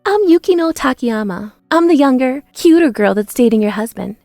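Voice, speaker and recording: A woman's voice: high-pitched, expressive, moderate pace, a little mellow, dry recording, faint music behind, pitched above the performer's own voice. A woman voicing a bratty young woman intern who talks in a cutesy, whiny, mocking way. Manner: sing-song, whiny, cutesy.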